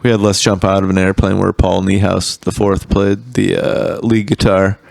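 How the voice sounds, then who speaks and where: A man's voice: low voice, flat delivery, moderate pace, gravelly, darkish tone, no room sound. A man, a singer-songwriter guest, chatting casually in a home studio, talking in a loose, rambling way that often trails off mid-thought. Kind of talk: casual chat.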